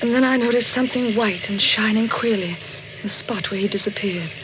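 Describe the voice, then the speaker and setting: A woman's voice: mid-range voice, brisk, background noise, lightly acted. An actress in a 1940s radio drama playing a proud, frightened married woman with a refined, dramatic delivery. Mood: haunted, suspenseful. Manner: dramatic, refined, haughty when rattled. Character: glamorous married woman, proud, frightened, telling her eerie tale. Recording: muffled vintage radio recording, music behind.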